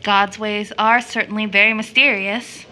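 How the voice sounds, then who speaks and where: A woman's voice: mid-range voice, steady tone, moderate pace, pushed and bright, lightly acted. A woman playing an earnest, affectionate but troubled young daughter in an audio drama. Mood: pensive.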